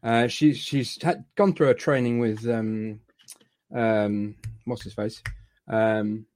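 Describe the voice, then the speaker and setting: A man's voice: on the low side, steady tone, slow, a little mellow. A man from Scotland talks loosely with fellow fans over a video call, picked up by a home computer mic. He stops often, repeats words and reaches for fillers as he thinks aloud. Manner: hesitant, many fillers and restarts. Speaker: podcast panelist. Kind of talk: casual fan chat.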